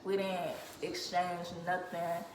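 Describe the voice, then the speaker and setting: A teenage girl from Chicago, soft-spoken and shy, chatting casually with her cousins in a storytime video. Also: fairly low pitch, flat delivery, slow pace. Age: teens. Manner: soft-spoken, self-described shy, filler-heavy. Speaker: vlogger. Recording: roomy echo, faint hiss.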